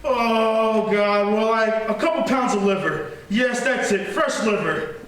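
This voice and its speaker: A man playing a jumpy, high-pitched radio horror husband. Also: a little slow, fairly bright tone. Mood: nervous, impatient. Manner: agitated, nervous, impatient. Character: jumpy, secretive husband hiding a dark secret. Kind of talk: old-time radio horror acting on stage. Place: theatre stage. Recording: echoey theatre, distant mic, noisy live stream.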